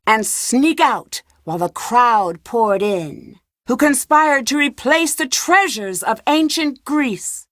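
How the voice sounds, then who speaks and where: A woman voicing a bold, composed stage storyteller in a video game, with deliberate theatrical delivery. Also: a little high, animated, fairly bright tone, no room sound, studio quality. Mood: dramatic. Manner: deliberate, dramatic.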